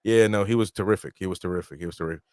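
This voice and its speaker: A man's voice: sits low, racing, darkish tone, no echo, studio quality. A Peruvian-born man hosting a casual football watch-along live stream, chatting loosely and reading out viewer comments. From Peru. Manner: laid-back, chatty, reads out viewer comments.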